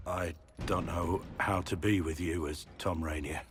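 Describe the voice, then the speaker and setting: An actor playing a remorseful middle-aged warrior prisoner in a dramatic video game, solemn and heavy-hearted. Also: male voice, low-pitched, fairly even intonation, a little slow, background noise. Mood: vulnerable, sincere.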